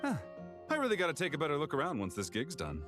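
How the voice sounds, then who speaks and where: A man's voice: on the high side, huge pitch swings, fast talker. A man voicing a friendly, easygoing adventurer in a video game, chatty and folksy. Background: music.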